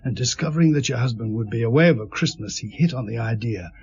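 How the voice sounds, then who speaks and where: An actor playing a stern, shrewd police inspector who questions methodically and sardonically in a radio drama. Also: male voice, mid-range voice, fast talker, a little mellow, slightly rough, muffled recording. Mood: methodical, accusing. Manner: pressing, methodical, sardonic interrogator.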